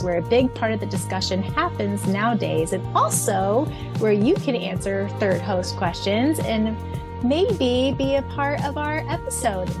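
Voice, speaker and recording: A woman co-hosting a fan podcast in casual chat, gushing and excitable, laughing often. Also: mid-range voice. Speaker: podcast co-host.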